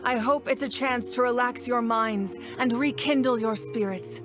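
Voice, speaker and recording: A woman playing a dignified, solemn leader of a nation in a video game, speaking in formal, measured oratory. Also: fairly high pitch, music in the background, close to an everyday voice. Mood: stately. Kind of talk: scripted video game voice acting.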